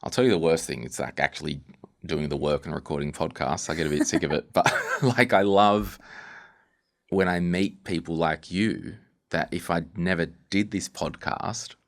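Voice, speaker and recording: A man's voice: low voice, moderate pace, gravelly. A man hosting a podcast interview in a casual, informal way, searching for his words as he goes.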